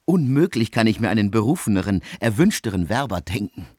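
A formal, probing man in a German radio play. His voice is husky and close in a dry studio. He sets out each phrase deliberately, with cool irony. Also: on the low side, sing-song, a little mellow, no room sound. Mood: insistent. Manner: deliberate, ornate, pointed, ironic diction. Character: cool, probing, formal man asking a friend to woo for him.

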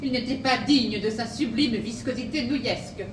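Female fanatic killer played by an actress, comic podcast fiction. High, pompous, theatrical, defiant; slightly distant from the mic. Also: moderate pace, natural voice. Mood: flippant self-justifying. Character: grandiose deranged female killer, fanatical devotee of pasta. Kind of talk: comic audio drama acting. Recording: roomy, off-mic, noisy action-scene sound.

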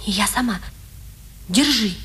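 Casting: tender young female inmate, unhurried, gentle delivery, vintage radio play with slight hiss. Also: mid-range voice, expressive. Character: young woman prisoner, tender, caring, quietly brave. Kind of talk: 1950s Soviet radio drama acting. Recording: old radio recording with hiss.

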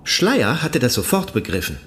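A learned modern lecturer in a radio drama. He has a medium-pitched voice with animated intonation and explains his case didactically. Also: normal speed. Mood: defensive. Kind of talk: radio play acting.

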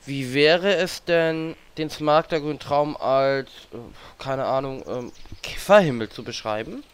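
A man playing a sardonic, smooth-voiced tauren. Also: on the high side, unhurried, lightly acted. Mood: musing. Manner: dry, sarcastic remarks.

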